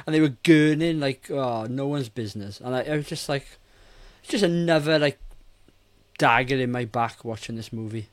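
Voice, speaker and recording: A man's voice: medium pitch, moderate pace. A man in his forties chatting casually and opinionatedly as a podcast host, exasperated and swearing freely. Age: 40s. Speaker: film review podcast host.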